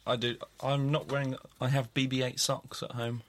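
A man's voice: mid-range voice, level tone, moderate pace. A man co-hosting a podcast, chatting casually and telling a jokey story. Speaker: podcast co-host. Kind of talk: casual chat.

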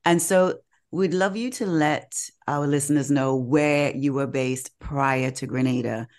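A woman with a low voice hosts the podcast. She steers the interview with gentle questions and fills the pauses with murmured agreement. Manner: warm affirming, frequent murmured agreement. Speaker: podcast host.

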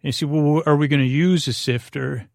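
A man hosting a bedtime podcast with a creaky voice, meandering and whimsical as he drifts haltingly from one tangent to the next. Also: a little high, steady tone, a little mellow, dry recording, clean studio sound. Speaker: podcast host. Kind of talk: rambling bedtime podcast monologue.

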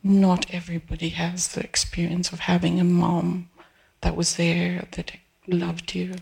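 A woman in her seventies from Peru, an audience member speaking haltingly at a live event, pausing and restarting as she searches for words. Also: on the low side, completely flat delivery, gravelly, slightly rough. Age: 70s. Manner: hesitant, restarting, soft and searching. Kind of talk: halting personal testimony.

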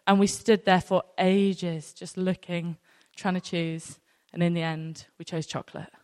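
A woman's voice: on the low side, little pitch movement, a little slow, darkish tone. A woman in her thirties with a British accent gives an informal sermon to a congregation in a conversational, anecdotal way, using rhetorical questions. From near North Wales, UK. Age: 30s. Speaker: church speaker. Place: large room, live talk to an audience. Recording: echoey hall with long reverb.

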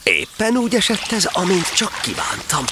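A man's voice: high-pitched, huge pitch swings, very noisy, pitched above the performer's own voice. A man voicing a brave young wandering hero in a verse audio drama, earnest and declamatory. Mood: pleased.

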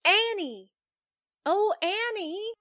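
An excitable young female sidekick from an old radio drama. Her voice is extremely high and swoops around, with drawn-out, slow delivery and jittery, folksy impatience. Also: exaggerated voice. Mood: impatient. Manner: folksy exclamations, exasperated nagging. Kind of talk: 1940s radio drama acting.

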